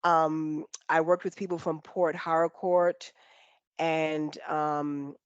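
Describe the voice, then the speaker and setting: A woman hosts a friendly interview chat and talks with lively, chatty energy, often stumbling over her words and restarting. The recording sounds a bit muffled and dry, with no room echo. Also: sits low, takes it slowly, clear, resonant tone. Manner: animated and chatty, with stammered restarts. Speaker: interview host.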